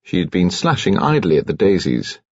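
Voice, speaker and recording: A man's voice: low voice, very animated, normal speed, no echo, highs cut off. A British man reading a detective novel aloud as scripted, dramatic audiobook narration.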